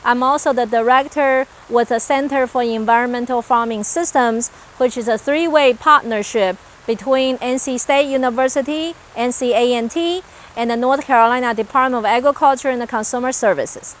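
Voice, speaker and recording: High-voiced woman with a Taiwanese accent. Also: normal speed. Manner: earnest, list-like, with hesitations. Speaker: agricultural researcher. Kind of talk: explanatory interview talk. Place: outdoors on a farm.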